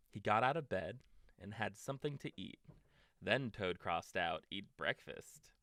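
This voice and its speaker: Slow, low-voiced man reading bedtime stories. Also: fairly bright tone, no room sound, clean studio sound. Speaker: storytime reader. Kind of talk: bedtime story narration for young children.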